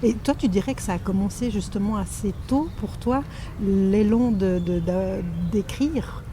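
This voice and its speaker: A woman's voice: medium pitch, unhurried, noisy background. A woman hosting an interview podcast, asking open questions and murmuring along as her guest talks. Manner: frequent soft back-channel murmurs. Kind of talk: interview, encouraging listening.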